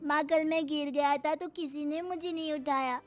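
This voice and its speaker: A woman's voice: sits high, steady tone, moderate pace, soft background music, natural voice. A woman voicing a cheeky young schoolboy, childlike and blunt, in a cartoon story. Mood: grateful.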